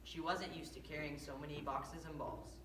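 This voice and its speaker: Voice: mid-range voice, steady tone, normal speed. A narrator reading scripted story lines aloud in a readers theater performance. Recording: echoey room, distant mic, faint noise.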